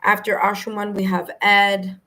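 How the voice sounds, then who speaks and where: A woman with a New York accent and a slightly hoarse, raspy voice, hosting a live tarot stream in a friendly, chatty way. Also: medium pitch, completely flat delivery, moderate pace, sharp tone. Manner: friendly, chatty, laughs easily. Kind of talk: casual live-stream chat, greeting viewers.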